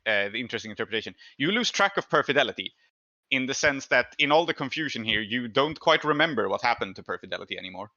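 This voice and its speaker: A man's voice: mid-range voice, animated, fast talker, sharp tone, no room sound, dull audio. A man running a tabletop game as game master, narrating and making rulings in a thoughtful, deliberative way.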